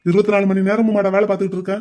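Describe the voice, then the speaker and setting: A defensive brother-in-law, played by a man in an audio drama, caught in a family quarrel. He pleads in a high voice and talks fast. He keeps trying to explain himself. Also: level tone, mellow, lightly acted. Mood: pleading.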